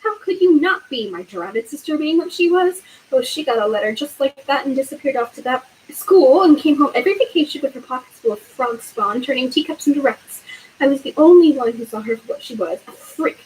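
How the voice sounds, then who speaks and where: A woman doing a shrill ranting aunt. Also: expressive, brisk, darkish tone, slight background noise, rough recording. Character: shrill bitter middle-aged aunt.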